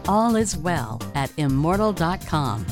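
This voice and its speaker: A woman's lower voice moves up and down a lot as she reads a polished sponsor message. Clear music plays under her the whole time. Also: very animated, unhurried. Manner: polished, promotional. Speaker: sponsor announcer. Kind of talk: scripted ad read. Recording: studio voice-over with music.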